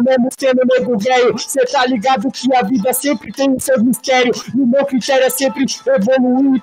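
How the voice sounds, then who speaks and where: A man from Northeast Brazil rhymes freestyle in a rap battle, full of street slang. His voice sits very high. He is heard through a webcam on a video call. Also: poor audio. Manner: slangy street rhyming flow. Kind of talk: freestyle rap over a beat.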